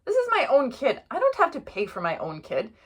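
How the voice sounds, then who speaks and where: A woman's voice: high-pitched, huge pitch swings, fairly quick, breathy edge, pitched above the performer's own voice, full character voice. A woman voicing an exasperated, scolding but loving father in a children's story, emphatic and blustering. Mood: indignant.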